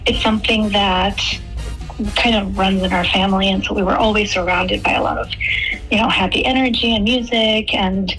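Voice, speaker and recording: Young woman from el salvador chatting in an interview, lots of ums, soft music behind. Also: mid-range voice, steady tone, normal speed, low-quality recording. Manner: chatty, many fillers and restarts. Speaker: guest musician. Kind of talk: casual interview answers.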